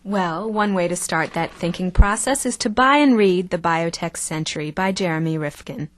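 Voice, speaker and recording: A woman's voice: on the low side, normal speed, lightly acted. An actress playing a chatty, self-assured female talk show co-host in an audio drama. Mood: polite, hosting.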